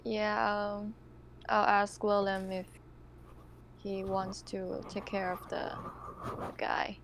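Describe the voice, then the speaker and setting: A woman playing a tabletop roleplaying game, speaking in a halting, casual way. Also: mid-range voice, level tone, fairly bright tone. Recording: noisy background on the mic.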